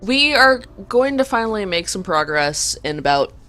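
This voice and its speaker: A woman's voice: medium pitch, big pitch swings, normal speed, fairly bright tone, soft background music. A young woman hosting a let's play, chatting in a rambling, self-mocking way while coming down with a cold. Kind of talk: casual gameplay commentary.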